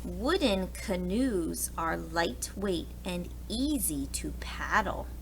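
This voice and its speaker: Female children's story reader, expressive teacher delivery, very unhurried, faint noise behind. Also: lowish voice, vocal fry. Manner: expressive, talks directly to young children. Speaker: preschool teacher reading aloud. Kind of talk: children's picture book read-aloud with asides.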